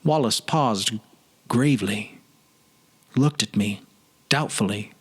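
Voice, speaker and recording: A man giving a dramatic scripted narration, measured and grave, with frequent pauses. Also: medium pitch, breathy edge. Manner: measured, grave, pausing often. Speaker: producer, presenter and reader.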